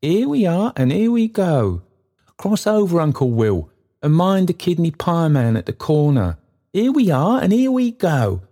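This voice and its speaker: A man voicing an excitable, kind-hearted old male porter who bustles about breathlessly and keeps repeating himself. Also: on the high side, measured pace, pitched above the performer's own voice, full character voice. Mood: bustling, eager.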